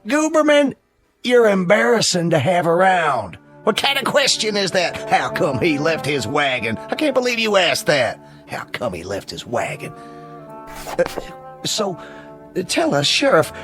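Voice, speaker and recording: An actor playing an excitable, fawning Old West townsman who gushes in a hickish American drawl for an audio drama. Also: fairly high pitch, unhurried, soft background music, full character voice. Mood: scornful. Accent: American Western drawl. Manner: exclaiming, gushing, hickish. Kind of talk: audio drama comic Western acting.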